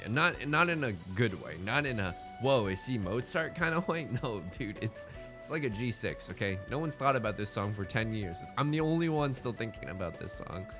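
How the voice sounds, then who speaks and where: Animated man rambling through game commentary. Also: mid-range voice. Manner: rambling, thinking aloud, playfully dramatic and self-deprecating. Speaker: host of a gaming let's play series. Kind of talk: casual running game commentary with joking banter.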